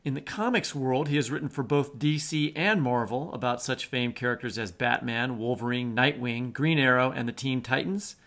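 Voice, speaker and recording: A man's voice: medium pitch, vocal fry, a bit bright. A man co-hosting a podcast, giving introductions and chatting with guests. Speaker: podcast co-host. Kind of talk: scripted introductions and interview chat.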